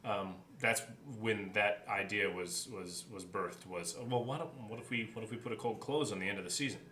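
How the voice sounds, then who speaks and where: A man's voice: lowish voice, moderate pace, sharp tone. A man in a casual behind-the-scenes chat as a podcast co-host. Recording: roomy echo, clear background noise.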